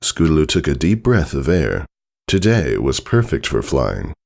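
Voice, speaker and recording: Deep, gravelly, expressive male storyteller. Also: unhurried. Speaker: story reader. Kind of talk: dramatic scripted storytelling narration.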